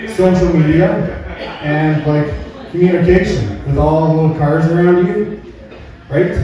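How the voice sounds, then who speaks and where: A man's voice: a little high, mellow. A middle-aged Canadian man doing a folksy, rambling stand-up comedy routine, often checking in with the crowd. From Alberta, Canada. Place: live comedy venue indoors. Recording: very echoey room, far from mic.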